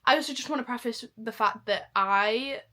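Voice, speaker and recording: Bright-voiced, self-mocking young woman. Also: fairly high pitch, moderate pace. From Manchester, England. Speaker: vlogger. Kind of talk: casual reaction vlog chat.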